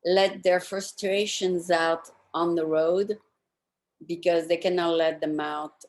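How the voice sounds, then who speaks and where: A woman's voice: fairly low pitch, steady tone, slow. A woman chatting casually as an interviewer, asking questions and commenting on the answers.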